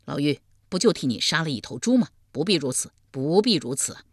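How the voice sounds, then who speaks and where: A kind, resolute young nobleman speaks in a lowish, lively voice, as a woman reads him in a storybook. The recording is dry and near. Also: brisk, no echo.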